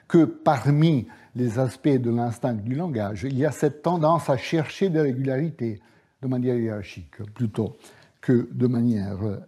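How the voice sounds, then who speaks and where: A male professor whose first language is Italian, giving a university lecture at a measured pace with frequent hesitations and fillers. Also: medium pitch, warm tone, husky. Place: lecture hall.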